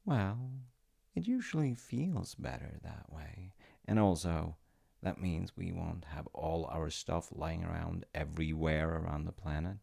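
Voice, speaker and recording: A man playing a playful, tender wizard fiancé in an intimate ASMR-style audio roleplay, speaking to the listener in a gentle, coaxing way. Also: sits low, unhurried, vocal fry, husky, heavily acted. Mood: playful, excited. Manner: gentle, coaxing, often dropping into whispers. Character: playful, tender male wizard fiancé showing off magical home.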